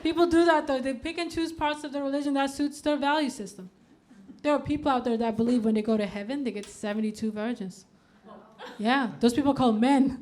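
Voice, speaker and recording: A woman in her thirties from Denmark, performing a stand-up comedy set on a club stage, deadpan with punchy outbursts. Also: high voice, background noise.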